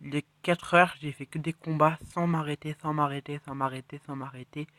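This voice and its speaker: Man with a cold, slightly high voice, dry close sound, rambling excited game commentary. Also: a little fast, no echo. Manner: rambling, excitable, self-correcting, drops to mutters. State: has a cold. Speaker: mobile game channel host. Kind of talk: casual gameplay commentary.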